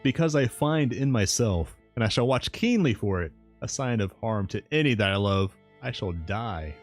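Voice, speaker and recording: A man reading aloud and voicing a brave, grief-stricken young woman in a storybook, pitching his voice up for her. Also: lively intonation, normal speed, soft background music. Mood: sorrowful resolve.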